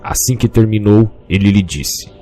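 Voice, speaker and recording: Male storyteller, low voice, solemn literary delivery, scripted narration, faint music behind. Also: moderate pace, warm tone.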